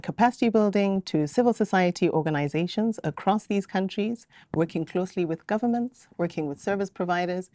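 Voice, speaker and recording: Measured woman moderating a panel. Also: fairly low pitch, a little mellow, no room sound. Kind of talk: panel introductions. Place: conference venue.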